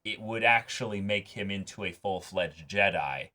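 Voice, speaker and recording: A man with a fairly low voice talks as a reaction show co-host. His delivery is casual and conversational, with keen emphasis on the points he likes. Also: normal speed, sharp tone. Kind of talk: casual TV episode discussion.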